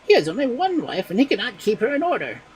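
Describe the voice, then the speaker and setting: A man doing a high, cocky farm rooster. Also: sing-song, fast, a little hiss or hum. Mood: boastful scorn. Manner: boastful, scornful. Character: cocky, scornful farm rooster boasting of hundred wives. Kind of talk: fairy tale character voice.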